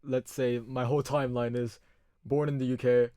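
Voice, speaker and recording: A man's voice: mid-range voice, flat delivery, normal speed, mellow, clear, resonant tone, no room sound, studio quality. Hesitant young man, American-leaning accent. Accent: American-leaning international school. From Hong Kong. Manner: hesitant, many restarts. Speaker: podcast guest. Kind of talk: casual chat.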